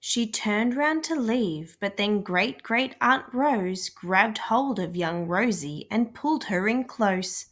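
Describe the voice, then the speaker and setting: A woman's voice: mid-range voice, lively intonation, slow, few pauses, fairly bright tone. A woman storyteller reading a rhyming children's picture book aloud as scripted narration.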